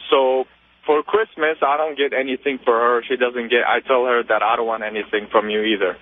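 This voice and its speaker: A man in his twenties calling a radio show, telling a story casually and a little haltingly. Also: medium pitch, flat delivery. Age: 20s. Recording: tinny narrow phone line.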